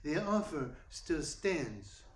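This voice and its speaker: Prissy, higher-voiced gentleman crook played by a man. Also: very animated, takes it slowly. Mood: indignant. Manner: formal, precise, prissy. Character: polite, fussy, menacing male crook, easily indignant. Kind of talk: radio play acting in 1940s noir manner. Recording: roomy, echoey sound with faint noise.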